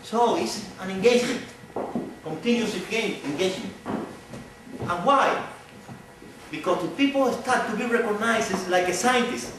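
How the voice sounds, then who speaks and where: A man's voice: high voice, lively intonation, normal speed, long pauses, noisy background. A middle-aged man from Cuba with a Cuban Spanish accent, a native Spanish speaker giving a public lecture earnestly, often repeating phrases as he searches for words. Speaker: visiting speaker. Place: large echoey hall. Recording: echoey hall from far off.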